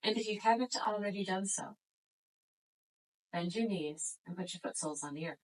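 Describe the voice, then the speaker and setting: A woman guiding a floor exercise class as instructor, giving slow, gentle, soothing cues. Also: mid-range voice, poor audio. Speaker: yoga instructor.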